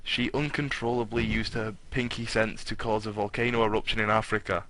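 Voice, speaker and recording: A man's voice: on the low side, flat delivery, brisk, a bit bright, low-quality recording. A man narrating a fan fiction as a dramatic reading, irreverent and foul-mouthed, often breaking off to comment. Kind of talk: dramatic reading with casual banter.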